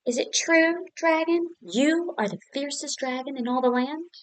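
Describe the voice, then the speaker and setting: A woman's voice: high voice, moderate pace, no echo, voice pushed up, natural voice, poor audio. A woman voicing a brave, clever young princess in a told story. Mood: eager.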